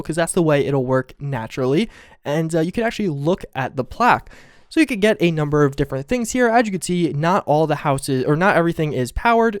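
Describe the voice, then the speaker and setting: A man's voice: fairly high pitch, no room sound, studio quality. A young man, a bit sick and losing his voice, explaining a game mod in a rambling, casual way. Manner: rambling and explanatory, with fillers and restarts. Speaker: gaming video host.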